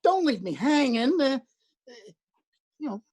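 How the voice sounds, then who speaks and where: A woman plays a nervous, stammering patient with a high voice. The lines come out slowly and awkwardly. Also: very animated, darkish tone, heavily acted. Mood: sheepish. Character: nervous man called Mister Lyons.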